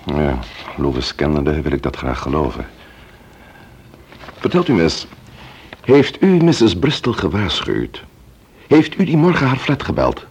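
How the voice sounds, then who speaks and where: An actor playing a shrewd, courteous police investigator in a Dutch radio play, speaking deliberately and questioning with emphasis. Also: male voice, low voice, huge pitch swings, darkish tone, slight background noise, full character voice. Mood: probing.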